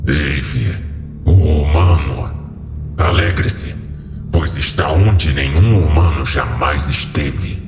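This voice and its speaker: Man voicing a very deep, echoing fallen angel. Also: heavily acted. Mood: solemn, mysterious. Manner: slow, grave, grandiose. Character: solemn angelic fallen angel, ancient lord of hell. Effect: cavernous echo and reverb on a lowered voice. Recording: echoing hall reverb over music.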